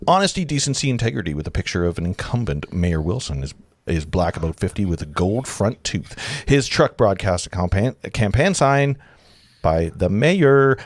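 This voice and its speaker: A man's voice: fairly low pitch, no room sound. A man reading screenplay directions as the narrator of a table read, informally, with casual asides.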